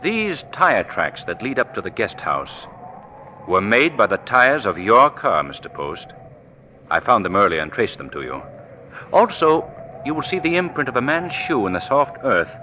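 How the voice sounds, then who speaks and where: An actor playing a suave, commanding amateur sleuth and avenger in a 1940s radio mystery. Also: male voice, on the low side, big pitch swings, pushed and bright, dull audio. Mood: probing, authoritative. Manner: commanding, measured, interrogating. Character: suave amateur sleuth and eerie invisible crime-fighting avenger. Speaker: lead actor. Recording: noisy vintage 1940s radio recording.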